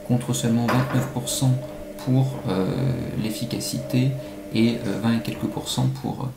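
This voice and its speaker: A man giving measured, analytical answers as an academic expert being interviewed, with some halting phrasing. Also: mid-range voice, steady tone. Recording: echoey room, faint-to-clear background noise.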